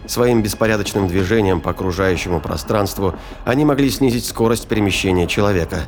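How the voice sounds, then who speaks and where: Low-voiced male audio drama narrator. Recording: studio reading over prominent music and effects.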